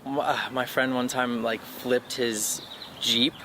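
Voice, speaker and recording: A man's voice: medium pitch, flat delivery, slow pace, noisy background. Laid-back young man chatting outdoors. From Los Angeles area. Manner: laid-back, laughs easily, many fillers. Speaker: musician guest. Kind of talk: casual interview chat. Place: outdoors on a sidewalk.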